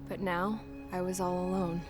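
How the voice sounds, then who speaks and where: A woman's voice: lowish voice, little pitch movement, moderate pace, lightly acted. An actress playing a tough young female mechanic and pilot, narrating in a wry, plain-spoken way. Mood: wistful. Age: young adult. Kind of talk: game cutscene narration. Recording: narration over loud music.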